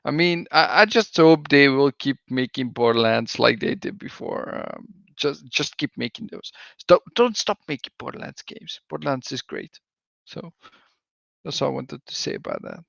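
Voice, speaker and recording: A man's voice: mid-range voice, expressive, moderate pace, creaky, a bit bright, dry recording, muffled recording. A man from Belgium with a Belgian accent, a laid-back panelist on a gaming podcast who laughs often. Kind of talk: casual gaming podcast chat.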